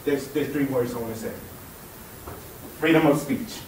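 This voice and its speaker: A man's voice: mid-range voice, moderate pace, a little mellow, slight background noise, close to an everyday voice. A young man playing an angry, defiant student who stumbles, repeats himself and then bursts out. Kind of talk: stage monologue. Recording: very echoey hall from far off.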